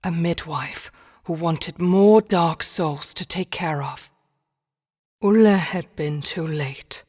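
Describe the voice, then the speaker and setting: A woman narrating a storybook game in a measured, solemn fairy-tale style. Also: on the low side, a little mellow, breathy edge. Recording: long reverb.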